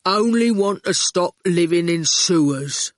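A woman voicing a big, gruff, terse man who speaks in clipped fragments for a storybook. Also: on the high side, animated, very slow, no echo, clean studio sound, pitched above the performer's own voice. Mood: earnest. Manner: clipped fragments, dropping pronouns and small words.

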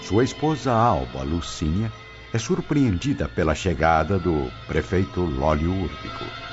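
Very deep-voiced male radio drama narrator. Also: lively intonation, measured pace. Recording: dull lo-fi, music behind.

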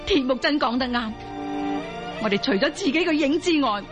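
A woman's voice: high-pitched, expressive, moderate pace, music in the background. An actress playing a caring, steadfast nomad mother with a Cantonese accent in an audio drama. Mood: earnest.

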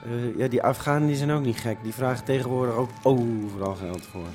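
An actor playing a weary, laid-back hippie traveller in a radio drama, talking in a hesitant way. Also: male voice, mid-range voice, brisk, a little mellow, soft background music, lightly acted. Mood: sheepish.

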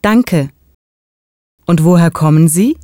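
A woman reading a hotel receptionist's part in a scripted textbook dialogue, clearly articulated and friendly. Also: on the low side, animated, takes it slowly, mellow, no room sound, studio quality.

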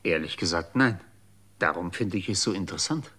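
A calm, wry explainer. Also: male voice, deep voice, a little fast, breathy edge, full character voice. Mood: explaining. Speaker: character in a radio drama. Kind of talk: radio drama acting.

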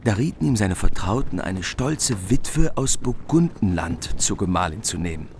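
A man's voice: sits low, sing-song, normal speed, gravelly, a little mellow, a little hiss or hum. A man narrating an old epic as scripted storytelling in a radio drama.

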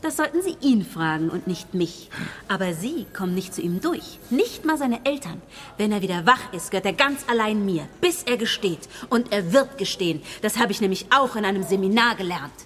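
A woman's voice: mid-range voice, animated, normal speed, fairly bright tone, lightly acted. An actress playing a curt, self-assured, determined female police investigator in a radio play, irritated and firm. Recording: long reverberant hall.

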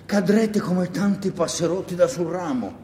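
A male actor plays a wheedling rural man in a stage drama, his voice a bit high for a man. He rambles, stammers and repeats himself to persuade. The sound is echoey, with long hall reverb. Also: normal speed, warm tone, slight background noise, close to an everyday voice. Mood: coaxing, insistent. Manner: hesitant, repetitive, coaxing, full of asides. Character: wheedling, rambling country man urging a young partisan to hide. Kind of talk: theatrical monologue, radio stage drama.

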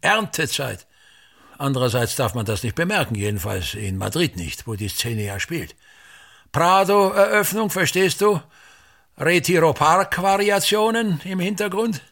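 A husky, gravelly theatre tyrant, with a highish pitch that swoops widely. He declaims pedantically and condescends with easy exasperation, in the style of a German radio play. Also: lively intonation, measured pace, fairly bright tone, full character voice. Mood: pontificating. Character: pompous, tyrannical theatre director rehearsing his own comedy. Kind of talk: German radio drama acting.

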